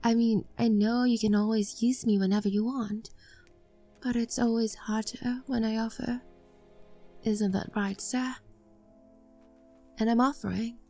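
A woman's voice: fairly high pitch, steady tone, unhurried, long pauses, dark tone, faint music behind. A young woman voicing a clingy, obsessively devoted maid in an audio roleplay, pleading and stammering. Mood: soft whispering.

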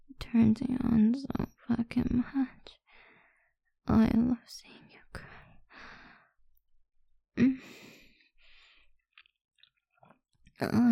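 A cruel, controlling mistress played by a woman, hissing slow, degrading taunts in a husky, gravelly whisper. Her tone stays flat and even. The recording is dry and slightly muffled. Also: a little high, no room sound, full character voice. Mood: possessive. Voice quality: breathy whisper. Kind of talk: whispered audio roleplay.

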